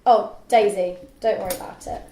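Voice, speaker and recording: A woman's voice: medium pitch, fairly even intonation, moderate pace, dark tone, lightly acted. A young woman reading the part of a polite, agreeable first-year student in a script table read, a little hesitant. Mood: polite, unsure. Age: young adult. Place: indoor room at a group read-through. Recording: echoey room, faint background noise.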